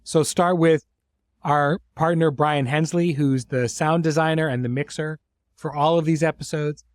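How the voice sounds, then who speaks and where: A man's voice: on the high side, steady tone, moderate pace, no echo, clean studio sound. A middle-aged man hosting a podcast in an informal, conversational way, effusive with his praise. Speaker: host and series creator.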